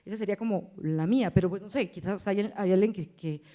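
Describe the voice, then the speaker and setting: A woman's voice: medium pitch, brisk, mellow. A woman audience member asks a question at a lecture in a hesitant, rambling, self-deprecating way, with frequent tag questions. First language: Spanish. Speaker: audience member asking a question, language teacher.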